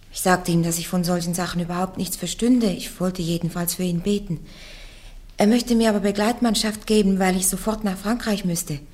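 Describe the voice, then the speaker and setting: A woman's voice: mid-range voice, dark tone, close to an everyday voice. An actress in a German radio play playing a young peasant woman on trial, fearless and defiant, plain and curt in her answers. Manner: plain, matter-of-fact, curt when questioned.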